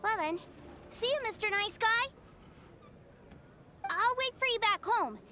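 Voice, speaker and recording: A woman's voice: very high-pitched, lively intonation, unhurried, pushed and bright, faint music behind, full character voice. A woman voicing an innocent, chatty little boy in a video game, earnest and childlike. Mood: cheerful, fond. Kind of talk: animated game voice acting.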